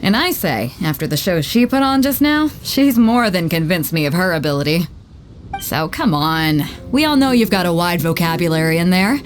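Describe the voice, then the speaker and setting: A woman's voice: on the low side, expressive, normal speed, soft background music, natural voice. A woman playing a bold, hearty ship captain in an anime game, confident and teasing as she speaks. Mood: proud, teasing.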